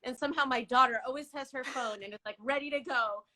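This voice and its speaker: A woman's voice: high voice, fast, pushed and bright. A middle-aged woman from Iran, a native Farsi speaker, chatting as a guest in a giggly, talkative way, often restarting words. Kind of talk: casual live-stream chat.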